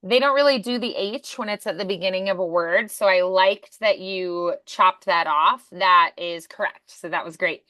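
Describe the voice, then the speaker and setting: A woman coaching in a conversational one-to-one lesson, encouraging and pausing to demonstrate sounds. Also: mid-range voice, bright, forceful tone, dry recording. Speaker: voice acting coach.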